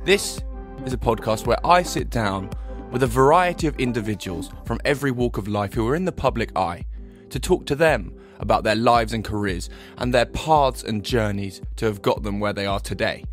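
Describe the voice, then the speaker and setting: A man hosting an interview podcast, giving earnest, measured introductions. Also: mid-range voice, lively intonation, faint music behind. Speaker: podcast host. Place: London.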